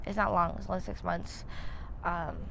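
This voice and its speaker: A woman's voice: lowish voice, flat delivery, moderate pace, gravelly, background noise. A woman in her twenties from upstate New York, answering a street interview in a rambling way with many fillers. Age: 20s.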